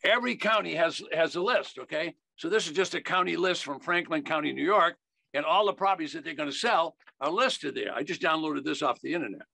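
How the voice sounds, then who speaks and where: A man's voice: on the high side, animated, brisk, gravelly, bright, forceful tone, dry recording. An older American man teaching in an emphatic, folksy sales style, repeating key phrases on a video call. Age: older, 70s. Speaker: investing educator. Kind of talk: instructional sales talk.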